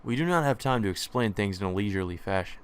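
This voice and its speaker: A man's voice: lowish voice, lively intonation, fast, smooth. A man reading a visual novel's translated lines aloud for a let's play, acting them out and occasionally stumbling. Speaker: let's player reading game text aloud.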